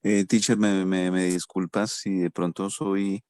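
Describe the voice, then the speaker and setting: A man's voice: low-pitched, flat delivery, a little slow, darkish tone, smooth, no echo, studio quality. A man, a student in an online English class, asking his teacher questions politely and hesitantly, with many restarts.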